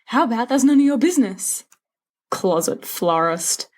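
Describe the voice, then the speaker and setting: A woman's voice: fairly high pitch, lively intonation, moderate pace, darkish tone, voice pushed up. Woman doing a cocky teen wizard boy from a storybook, dramatic, teasing, mock-grand. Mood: scornful. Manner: theatrical, teasing, flowery speech. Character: dramatic, scornful, mischievous teenage wizard schoolboy.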